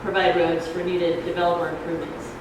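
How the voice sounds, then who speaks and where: A soft-voiced woman giving an informal explanatory talk at a public forum, speaking in a halting, hesitant way. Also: fairly low pitch, level tone. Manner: halting, hesitant, loses her thread. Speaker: ballot measure proponent, debate speaker. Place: community grange hall. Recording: echoey hall, distant noisy microphone.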